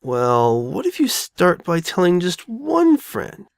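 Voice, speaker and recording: A woman voicing a loyal, reassuring young boy dragon who talks plainly and gently insists, in an audio drama. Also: lowish voice, huge pitch swings, takes it slowly, gravelly, no echo, full character voice. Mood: encouraging. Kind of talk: all-dialogue fan audio drama.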